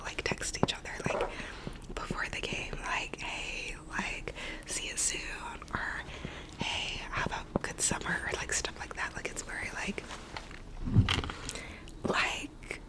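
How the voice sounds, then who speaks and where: A young woman whispering an ASMR ramble, recovering from a cold, chatty and full of "like" and "um". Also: low-quality recording. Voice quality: soft unvoiced whisper. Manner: rambling, lots of "like" and "um" fillers. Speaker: ASMR creator.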